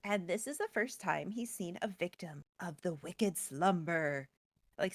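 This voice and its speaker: A woman's voice: medium pitch, a bit bright, dry recording, studio quality. A woman co-hosting a storytelling show, recapping a tale casually with wry, playful asides. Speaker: co-host storyteller.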